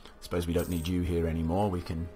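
A young man with a low, slightly gravelly voice talks through a strategy game, musing aloud to himself in a loose, chatty way. Soft game music plays faintly under his desk-mic commentary. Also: completely flat delivery, fast talker. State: recovering from a cough, slight gravel. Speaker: let's play commentator. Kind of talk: casual gameplay commentary.

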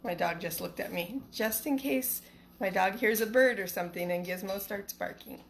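A woman's voice: medium pitch, moderate pace. A middle-aged woman, a teacher chatting casually and reading a picture book to children from home. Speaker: elementary school teacher reading storytime. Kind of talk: casual livestream chat and picture-book read-aloud for children. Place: small living room at home, indoors. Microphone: phone at arm's length. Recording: some background noise, home phone recording.

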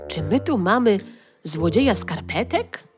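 A woman's voice: medium pitch, animated, a little slow, over music, lightly acted. A woman voicing a cheerful little boy detective with a playful way of talking. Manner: playful, quiet aside.

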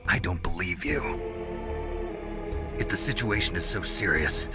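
A tough game hero, a cynical soldier with a very low, gruff voice. He talks in terse, sardonic challenges, with music underneath. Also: animated, unhurried. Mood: accusing, sardonic. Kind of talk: video game voice acting.

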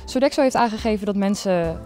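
A woman's voice: mid-range voice, faint music behind. A young woman reporting for a news segment and interviewing people in a university canteen with a handheld microphone. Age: young adult. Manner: lively, quick questioning. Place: university canteen and outdoor campus.

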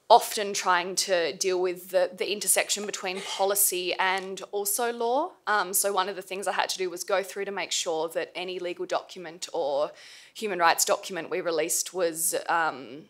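Young woman panelist, halting delivery, strained tired voice, table mic. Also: lowish voice, fairly bright tone. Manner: hesitant, many fillers and restarts. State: losing her voice. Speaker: panel speaker, former intern. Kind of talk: informal panel talk. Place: seminar room, panel table.

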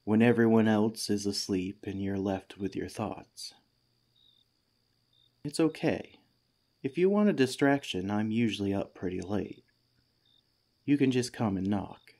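A man playing a kindly, patient head teacher in a gentle, soothing ASMR comfort roleplay. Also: fairly low pitch, expressive, unhurried, pauses often. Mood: tender, comforting.

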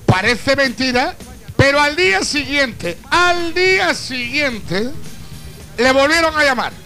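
High, animated radio man. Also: slow. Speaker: radio presenter. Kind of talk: scripted radio storytelling. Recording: radio segment over music.

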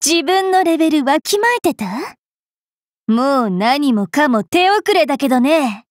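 A woman playing a cruel, wilful young female fairy knight in an anime game, with a haughty, taunting, rough-mouthed delivery. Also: high voice, lively intonation, unhurried, no echo, studio quality. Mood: sadistic, taunting. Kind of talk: anime mobile game voice lines.

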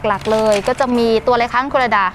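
A woman with a very high voice speaks as a teacher telling a story to small children. She keeps her phrases simple and repeats them. There is clear background noise in the recording. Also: fairly even intonation, quick pace. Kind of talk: children's storytelling with questions and sound effects.

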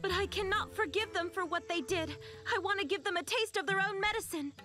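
A woman's voice: extremely high pitch, a little fast, pushed and bright, soft background music. A woman playing a polite, resolute young shrine maiden in a video game, speaking formally and earnestly. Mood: earnest, apologetic.

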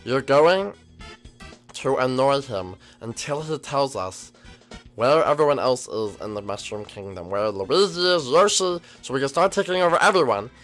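A man's voice: mid-range voice, animated, normal speed, fairly bright tone, soft background music, close to an everyday voice. A man voicing a whiny, bratty young male turtle prince who stammers and hesitates.

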